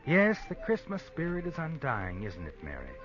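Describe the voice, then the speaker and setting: An actor playing a sardonic, all-knowing American narrator of an old radio suspense drama, insinuating and dramatic. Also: male voice, fairly high pitch, wildly swooping pitch, normal speed. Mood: sardonic, ominous. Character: sardonic, omniscient male storyteller of suspense tales. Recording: muffled old radio with music. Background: music.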